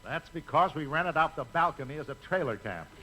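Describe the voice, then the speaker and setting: An actor playing a blunt, exasperated movie studio boss in a 1950s radio comedy. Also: male voice, a little high, lively intonation, fairly quick, close to an everyday voice. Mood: exasperated, dry. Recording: noisy 1950s radio recording.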